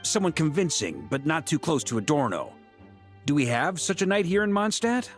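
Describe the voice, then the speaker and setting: A man's voice: fairly high pitch, sing-song, normal speed, faint music behind. A man playing an easygoing, wry Grand Master of knights with casual authority in a video game. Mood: casual, reassuring.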